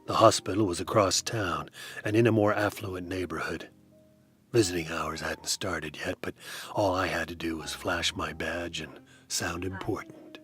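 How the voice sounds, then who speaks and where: A man narrating in hard-boiled first person as a detective, with a dry, wry, deadpan delivery. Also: sits low, normal speed, faint music behind.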